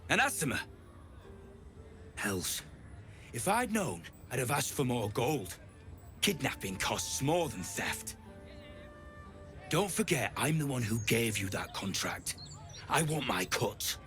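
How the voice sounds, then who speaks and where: A gruff, hot-tempered male mercenary from a fantasy game. His mid-pitched voice is forceful and swings widely, blunt and worn down, over faint music. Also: big pitch swings, slow, pauses often. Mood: bitter, urgent. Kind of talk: video game character acting.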